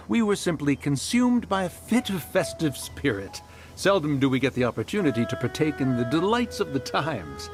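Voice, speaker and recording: A fussy immortal with a lightish, higher male voice that swings widely in pitch. He speaks in grand, archaic phrases, fretful and pompous, as in a fantasy video game. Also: very animated, normal speed, over music. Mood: sheepish, formal. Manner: pompous, archaic formal diction.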